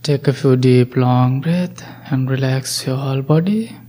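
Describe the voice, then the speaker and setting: A man, a Buddhist monk, gently guiding a meditation group with calm instructions, long pauses and non-native English grammar. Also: medium pitch. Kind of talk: guided meditation.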